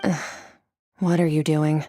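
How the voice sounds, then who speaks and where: A woman's voice: low-pitched, flat delivery, unhurried, noisy background. A woman playing an aloof, powerful young warrior in an anime fantasy game, speaking in a cool, detached, haughty, matter-of-fact way. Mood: solemn.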